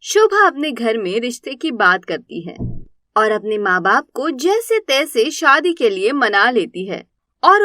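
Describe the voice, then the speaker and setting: Very high-pitched woman storyteller. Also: sharp tone, dry recording, studio quality. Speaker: narrator. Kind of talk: scripted story narration.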